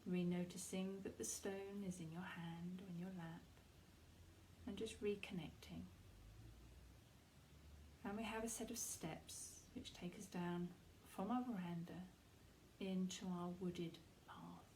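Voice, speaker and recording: A woman leading a guided meditation, giving soft, unhurried instructions in a soothing, reassuring manner with pauses between phrases. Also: fairly low pitch, steady tone, slight background noise, rough recording.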